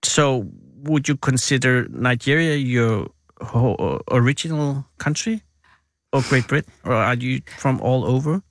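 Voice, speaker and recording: A man hosting a casual interview in English, his second language, talking haltingly with many fillers and restarts. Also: medium pitch, dry recording, studio quality. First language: Danish. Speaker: radio host.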